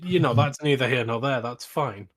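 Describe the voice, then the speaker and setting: A man's voice: medium pitch, normal speed. A British man hosting a podcast, chatting casually about films with friends. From Britain. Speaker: podcast host. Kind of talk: casual film discussion chat.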